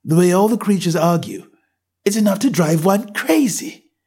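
Young girl, polite and earnest, quick to get exasperated, read by a man. Raised pitch, husky. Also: expressive, warm tone.

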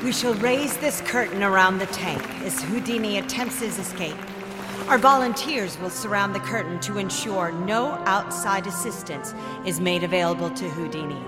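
Magician's female assistant played by a woman, commanding, declamatory radio-drama announcer, echoing hall, loud music underneath. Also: medium pitch, normal speed, close to an everyday voice. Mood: suspenseful. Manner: declamatory, suspense-building announcing.

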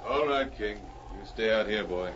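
Upright male Mountie, stern, lowish voice, commanding and formal, vintage radio serial, dull sound. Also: unhurried, a bit bright. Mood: kindly. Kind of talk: 1940s radio adventure acting. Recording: muffled, noisy old radio recording.

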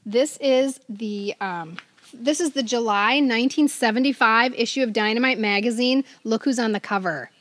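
A woman's voice: on the high side, normal speed, a bit bright. A middle-aged American woman co-hosting a podcast, chatting casually and reading out magazine items. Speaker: podcast co-host.

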